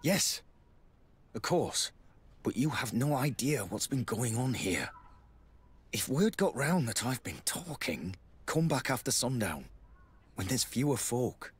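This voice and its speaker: A man playing a wary, guarded military lieutenant in a video game, with a husky voice and formal manner. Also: medium pitch, sing-song, slow, pauses often, full character voice. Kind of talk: video game cutscene acting.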